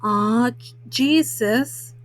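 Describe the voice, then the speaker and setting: A woman's voice: a little high, drawn-out words. Woman as a grumbling boy bear cub. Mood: grumbling, dismissive. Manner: grumbling, dismissive. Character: dismissive older brother bear cub, young boy.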